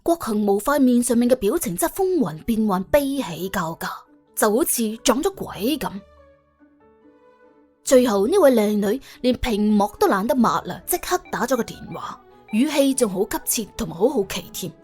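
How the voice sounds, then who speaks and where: Very animated husky woman storyteller. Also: on the high side, normal speed, soft background music. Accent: Hong Kong or Guangdong Cantonese. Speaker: audiobook narrator. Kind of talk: colourful scripted story narration.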